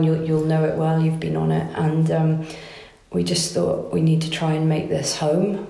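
A woman in her forties, raised in Wales, chatting in an interview as a competition organiser, with frequent restarts. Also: sits low. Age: 40s. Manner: chatty, warm laughs, many restarts. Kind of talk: casual interview. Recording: roomy echo.

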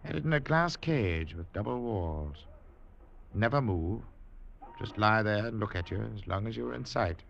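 An actor playing a gruff, middle-aged ship's captain, grudging and wary, speaking in clipped grumbles in an old radio drama. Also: male voice, lowish voice, animated, moderate pace, a bit bright, slight background noise. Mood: uneasy, grave. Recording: muffled vintage radio recording.

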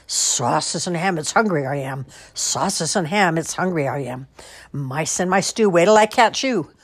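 A hungry kitchen cat, as a woman reading a storybook puts it on chants in a slow, purring sing-song. The voice is low and husky, with a teasing, menacing lilt that rises near the end of each line. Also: animated, dry recording, studio quality.

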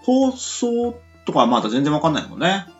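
A man hosting a casual radio chat in a teasing, animated way. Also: on the high side, moderate pace. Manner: animated, teasing, frequent backchannels. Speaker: radio host. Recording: muffled lo-fi audio with music underneath.

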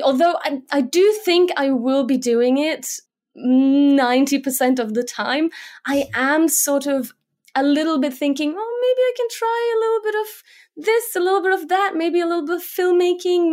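A Slovak-born woman with an English accent talks casually as an interview guest. She is a young adult with a fairly high voice, and she chats along with plenty of fillers and restarts. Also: normal speed, darkish tone. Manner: chatty, with many fillers and restarts. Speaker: guest, motion graphics designer.

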